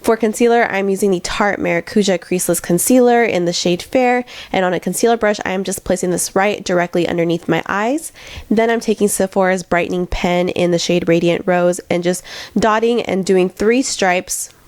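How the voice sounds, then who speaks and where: A woman's voice: mid-range voice, normal speed, darkish tone, no echo. A young woman narrating a makeup tutorial in a chatty, step-by-step way, her voice nasal from congestion. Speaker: beauty vlogger.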